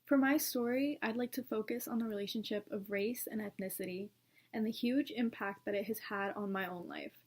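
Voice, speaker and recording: A woman's voice: mid-range voice, fairly even intonation, brisk, a little mellow. A young woman from Colombia, a student, reading a scripted personal narration in an earnest, reflective way. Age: young adult.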